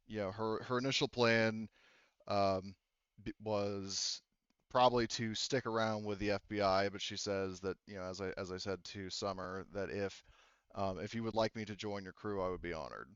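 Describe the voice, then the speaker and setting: A man running a tabletop roleplaying game as game master, narrating casually in a halting, conversational way. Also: lowish voice, a bit bright, smooth, no room sound, dull audio.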